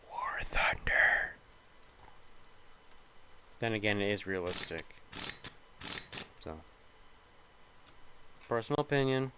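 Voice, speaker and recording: A young man talks over his gameplay, rambling and thinking aloud with plenty of hesitation. His voice sits a little low and sounds slightly nasal. A faint background hum runs under the recording. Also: fairly bright tone, poor audio. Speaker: gaming video creator. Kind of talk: casual gameplay commentary.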